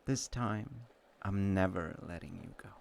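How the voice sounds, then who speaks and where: Romantic audio RP: gentle, slightly slow childhood friend in love, male performer; deep register, unhurried, halting, intimate, noticeable background noise. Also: creaky. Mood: amazed, moved. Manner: tender, halting, earnest.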